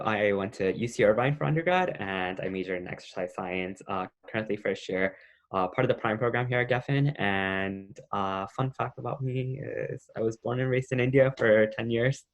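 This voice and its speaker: A man's voice: fairly low pitch, moderate pace. Young man introducing himself on a call. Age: 20s. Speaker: panelist, medical student. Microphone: video call.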